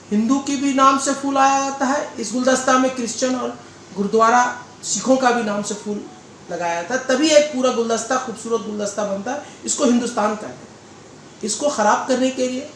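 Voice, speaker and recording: A man giving political interview answers in a deliberate, oratorical way, repeating phrases. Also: extremely high pitch, a bit bright. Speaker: political leader being interviewed. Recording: echoey room with faint background noise.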